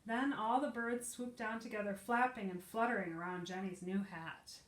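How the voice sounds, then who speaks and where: A woman preschool teacher reading a picture book aloud to young children, explaining simply and asking them questions. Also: mid-range voice. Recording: echoey room.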